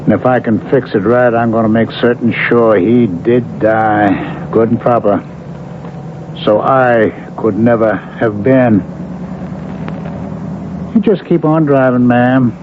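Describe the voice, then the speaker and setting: An actor playing a folksy, menacing old-West drifter with a drawling rural Western American accent in a radio drama. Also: male voice, medium pitch, slow pace, natural voice. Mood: quietly menacing. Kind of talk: 1970s radio drama acting. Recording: muffled, noisy old radio drama broadcast.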